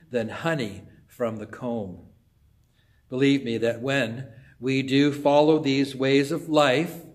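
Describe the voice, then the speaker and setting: An older man preaching a sermon in a church, close to a phone or webcam. He speaks in a measured way and often drops to a soft, hushed voice. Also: mid-range voice. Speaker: pastor. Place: church sanctuary before an altar cross.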